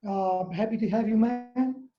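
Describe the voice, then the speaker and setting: A woman from Bulgaria, a DJ moderating a video call panel, talking quickly and warmly. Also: fairly high pitch. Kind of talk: casual video call chat. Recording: echoey room, dull lo-fi sound.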